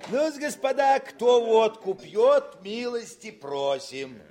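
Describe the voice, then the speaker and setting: An actor playing a genial, authoritative artillery commander with a husky voice in a Soviet radio drama. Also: male voice, extremely high pitch, big pitch swings, slow, a little mellow, exaggerated voice. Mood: genial, hearty. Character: genial, brisk artillery commander among his officers.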